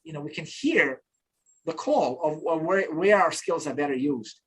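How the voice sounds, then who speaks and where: A man's voice: a little high, animated, moderate pace, a bit bright, slightly rough, rough recording. Reflective middle-aged man from Bosnia. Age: 50s. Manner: reflective, frequent restarts. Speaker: interview guest. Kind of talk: casual conversational storytelling.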